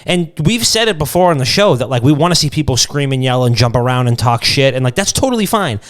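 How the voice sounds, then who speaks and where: A man's voice: mid-range voice, animated, quick pace. A man co-hosting a podcast in casual, opinionated chat, blunt and emphatic with lots of cursing. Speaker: podcast co-host.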